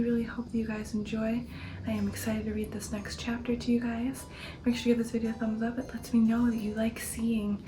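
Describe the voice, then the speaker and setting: A woman speaking in a soft, breathy ASMR whisper, hushed and measured, as the host of a reading channel. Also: fairly high pitch.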